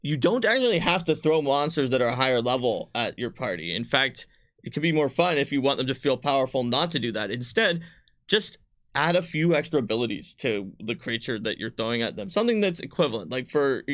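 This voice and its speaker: Male game designer, medium pitch, casual explainer on a livestream panel, discursive and self-correcting. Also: a bit bright. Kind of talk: casual explanatory panel chat answering questions.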